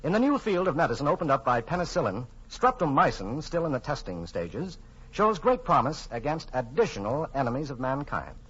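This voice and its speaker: A man's voice: on the high side, big pitch swings, a little fast, a bit bright, breathy edge. A man reading scripted sponsor messages and credits as a 1940s radio announcer, formal and emphatic. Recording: muffled 1940s radio recording.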